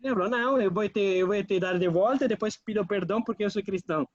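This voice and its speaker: A man's voice: sits high, quick pace, no echo, highs cut off. A man from Italy, a guest on a radio interview who talks hesitantly with many fillers and restarts. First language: Italian.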